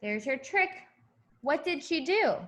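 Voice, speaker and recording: A woman's voice: high voice, very animated, unhurried, fairly bright tone, echoey room. A woman teacher reading a picture book aloud to young children, expressively, with simple asides to her listeners.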